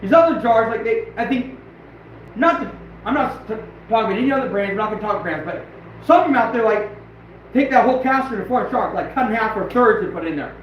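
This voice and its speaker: Hoarse man talking from far away. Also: sits high, fast, a little hiss or hum. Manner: rambling, laughing, blunt and excitable. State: hoarse, losing his voice. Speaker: trapper and channel host. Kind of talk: casual livestream chat. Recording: very echoey, distant, dull and lo-fi.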